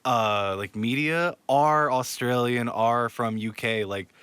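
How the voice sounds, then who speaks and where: A young area man, hosting a casual podcast. His voice is bright and forceful, recorded dry and close. He talks in a laid-back way, swears often and laughs easily. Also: medium pitch, takes it slowly, smooth, dry recording. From Philadelphia area, USA. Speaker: podcast host. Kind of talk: casual chat.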